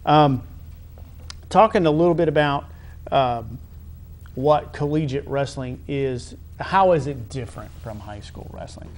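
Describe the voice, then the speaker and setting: A middle-aged American man conducting a conversational sports interview, halting and wordy, often repeating phrases. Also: mid-range voice. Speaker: interviewer, high school athletic director.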